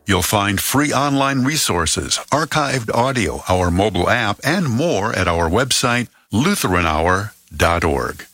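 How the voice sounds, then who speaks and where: Radio announcer guy, lowish husky voice, lots of up and down, faint music. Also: expressive, a little slow, few pauses, a bit bright, dry recording.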